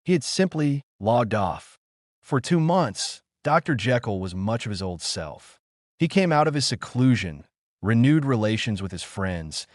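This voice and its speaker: A man narrates a noir audiobook in a low voice with widely swinging intonation. The delivery is scripted and dramatic, recorded close and dry. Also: no room sound.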